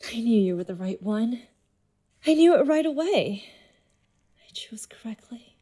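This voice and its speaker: A woman's voice: a little high, animated, unhurried, dark tone. A woman playing a manipulative alien creature in a human body, coaxing and controlling, in an audio drama. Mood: tender, seductive. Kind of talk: fiction podcast audio drama acting.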